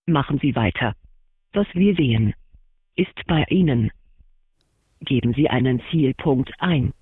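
A man voicing an impassive car navigation machine in a radio drama, giving formal, politely commanding prompts with a husky voice. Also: mid-range voice, animated, a little slow, no room sound. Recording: dry, dull band-limited device sound.

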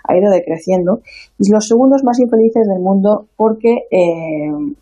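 A woman's voice: mid-range voice, moderate pace, dark tone, slightly rough, highs cut off. A woman with a Spain Spanish accent, explaining survey results on a radio show. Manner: explanatory, with fillers. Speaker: radio contributor. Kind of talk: presenting a report.

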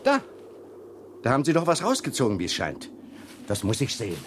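Nosy brash reporter, swooping intonation, excitable, vintage radio drama, birdsong and clear background noise. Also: male voice, mid-range voice, fairly quick. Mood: impatient, eager. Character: pushy, excitable male newspaper chief reporter. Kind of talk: 1970s German radio crime drama acting. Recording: noisy outdoor scene with birds.

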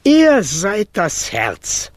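An actor playing a small, tender-hearted, dreamy mayor in a fairy-tale radio drama, speaking in a declamatory way. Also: male voice, sits high, huge pitch swings, drawn-out words, no echo, exaggerated voice. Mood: fond, chiding.

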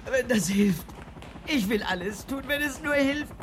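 A woman's voice: on the high side, lively intonation, a little slow. Distraught, pleading woman, noisy background. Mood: desperate, pleading. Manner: pleading, apologetic. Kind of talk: German radio drama acting.

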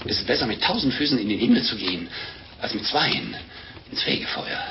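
A man's voice: medium pitch, very animated, fairly quick. An actor playing a jovial, fussy, pious monk with an unctuous, fatherly manner in a German radio drama from the 1950s. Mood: pious, proud. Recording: muffled, noisy vintage 1950s recording.

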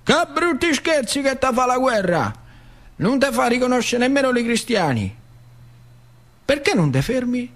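A man's voice: very high voice, very animated, normal speed, fairly bright tone, pitched above the performer's own voice, heavily acted. A man voicing a nosy uncle back from the war who questions a boy in a theatre monologue. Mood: wistful.

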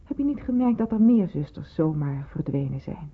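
An actress playing a stern but caring senior nurse, husky and insistent, in a radio drama. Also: female voice, low voice, animated, normal speed, exaggerated voice. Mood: urgent, pleading. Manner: insistent, commanding. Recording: phone-like muffled old radio recording.

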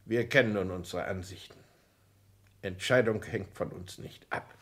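An older aristocratic count in a 1960s German radio play. His voice is fairly low and he takes his time over every phrase. He is reserved and haughty, with formal, clipped diction. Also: a little slow, fairly bright tone.

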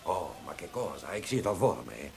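A man's voice: deep voice, very animated, fairly quick, gravelly, darkish tone, slightly rough, heavily acted. An actor in a radio play voicing a flamboyant, teasing Italian libertine poet with an Italian accent, theatrically mixing Italian exclamations into his talk. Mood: gleeful mockery. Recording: noisy archived radio play recording.

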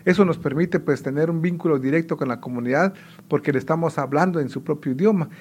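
A man in his forties from Guatemala, a native Kaqchikel speaker, explaining things in a measured way as a radio interview guest. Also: on the high side, a little mellow, slightly rough. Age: 40s. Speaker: radio federation director.

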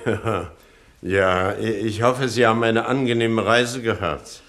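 An actor playing a polite, faintly uneasy prison director in a radio satire, hesitant and dryly formal. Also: male voice, fairly low pitch, fairly even intonation, slow pace, fairly bright tone. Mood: uneasy courtesy. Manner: hesitant, dry, formally courteous. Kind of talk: radio crime satire acting.

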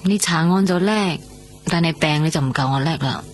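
An actress playing a stubborn but reassuring recovering patient in a radio drama, with a Hong Kong Cantonese accent. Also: female voice, deep voice, moderate pace, faint music behind, close to an everyday voice. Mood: gently insistent. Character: soft, stubborn, reassuring young woman recovering from surgery.

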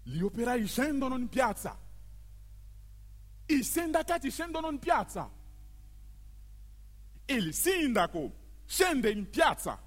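Guy doing dramatic stage narration, husky, swings up and down a lot, deliberate short phrases. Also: very high voice, sing-song, fairly bright tone. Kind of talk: theatrical storytelling, declaimed scripted monologue. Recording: long hall reverb, live music behind. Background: live musical accompaniment.

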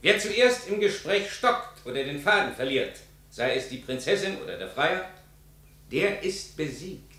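An actor in a 1950s German radio drama playing a stern, formal court official with ceremonial, declamatory delivery. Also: male voice, on the high side, sing-song, unhurried, pushed and bright, heavily acted. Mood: solemn. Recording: very echoey large hall.

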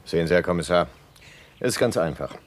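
An actor in a German radio play playing a talkative bus conductor who is pedantic about punctuality. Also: male voice, low voice, animated, quick pace. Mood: eager, self-assured. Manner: precise, eager, talkative.

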